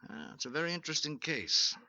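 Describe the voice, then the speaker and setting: A probing, measured psychiatrist. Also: male voice, fairly high pitch, sharp tone, no echo. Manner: measured, questioning, lecturing. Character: calm, probing male psychiatrist, middle-aged. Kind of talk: 1940s radio acting. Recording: dull lo-fi vintage radio recording.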